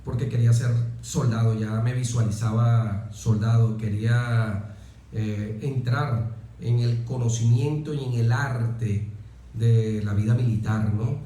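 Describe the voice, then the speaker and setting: A man from Venezuela with a Venezuelan accent, telling personal stories as an interview guest, phrasing deliberately and adding little tag questions. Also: mid-range voice, dark tone. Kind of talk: reflective personal storytelling in an interview. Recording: very echoey, reverberant room.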